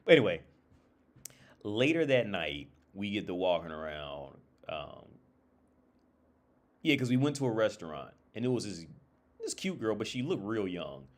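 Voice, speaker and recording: A man with a lowish voice hosts a livestream on his own. He talks loosely and informally, as if thinking aloud. His speech is peppered with swearing, and he often repeats or restarts a phrase before moving on. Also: sing-song, normal speed, pauses often. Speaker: livestream host. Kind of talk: casual rambling livestream monologue with anecdotes.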